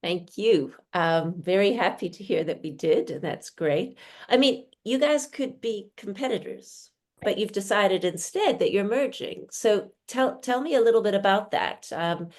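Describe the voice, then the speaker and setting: A woman's voice: medium pitch, expressive, a little slow, darkish tone. A woman with a mid-range voice leads the interview. She frames her questions carefully and hands the floor to her guests.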